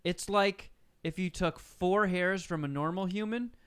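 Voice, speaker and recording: A man's voice: high voice, a little slow, fairly bright tone, clear, resonant tone. A young man co-hosting, talking in a hesitant, self-deprecating way. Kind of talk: casual chat.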